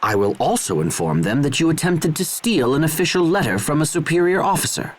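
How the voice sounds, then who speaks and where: An actor playing a stern, proud young military officer in a video game, formal, cold and dutiful. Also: male voice, fairly high pitch, sing-song, a little fast. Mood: coldly threatening. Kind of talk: dramatic video game voice acting.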